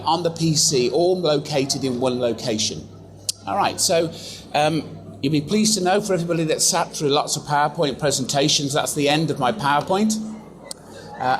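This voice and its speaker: An English man giving a chatty, self-deprecating live software demonstration to a conference audience, with lots of fillers. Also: a little high, background noise. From England. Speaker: software presenter. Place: conference room.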